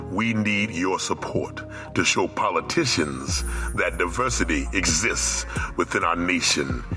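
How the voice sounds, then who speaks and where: A man's voice: sits low, sharp tone. A man reading a scripted advocacy advert in an earnest announcer manner. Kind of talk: scripted public-service advert. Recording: advert over clear music bed.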